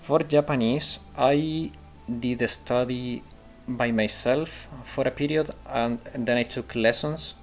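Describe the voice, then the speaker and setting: Man, casual streamer talk, thinks aloud, middle pitch. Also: steady tone, slow pace, few pauses, soft background music. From Italy. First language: Italian. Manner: rambling, thinks aloud with restarts and fillers. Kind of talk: casual gameplay commentary and chat replies.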